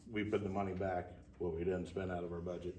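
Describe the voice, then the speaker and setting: Deep voiced board member guy thinking out loud, echoey room, far mic. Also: level tone, quick pace, a little hiss or hum. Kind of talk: board meeting discussion.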